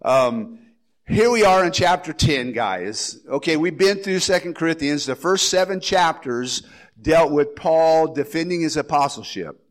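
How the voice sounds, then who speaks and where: A man's voice: on the high side, expressive, unhurried. A man with a slight cold preaching a casual, rambling verse-by-verse sermon as pastor. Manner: rambling, folksy, with restarts and asides. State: has a head cold.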